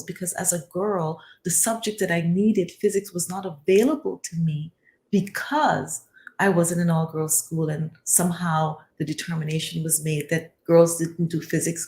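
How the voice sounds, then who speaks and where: A woman from the Caribbean, born in Guyana and raised in Barbados, a guest author telling her story in an interview with an earnest, measured manner. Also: lowish voice, a little mellow. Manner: earnest and measured, builds lists of phrases.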